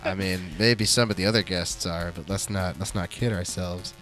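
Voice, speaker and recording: Laid-back man co-host, bright tone. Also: sits low, steady tone, normal speed, faint music behind. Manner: laid-back, self-deprecating. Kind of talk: casual chat. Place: attic studio in Buffalo. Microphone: handheld mic.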